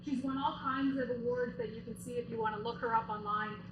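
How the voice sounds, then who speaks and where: A woman's voice: on the high side, expressive, brisk, highs cut off, noisy background. Chatty woman introducing a speaker, echoey room. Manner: chatty, warm praise with asides and fillers. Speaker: event introducer. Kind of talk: live event introduction. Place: lecture room at a university event.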